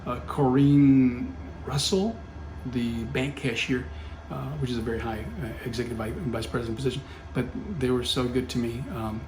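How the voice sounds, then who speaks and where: A man's voice: medium pitch, level tone, normal speed, a little mellow, soft background music. A middle-aged man telling a personal story in casual talk, full of fillers and self-corrections. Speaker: podcast guest, company founder. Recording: roomy echo with faint background hum.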